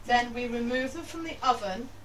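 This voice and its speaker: A woman's voice: on the high side, moderate pace, crowd noise. An older British woman casually giving children step-by-step instructions in a directive way, often checking that they follow. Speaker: grandmother teaching children to bake. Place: small domestic kitchen. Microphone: handheld camera a few feet away. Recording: distant camera mic, some background noise.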